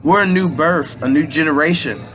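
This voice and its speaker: A man's voice: fairly high pitch, normal speed, a bit bright, slight background noise, poor audio. A man in his late thirties giving a rambling, casual spiritual pep talk in loose slang, restarting his sentences often. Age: 30s. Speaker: aspiring minister and motivational speaker.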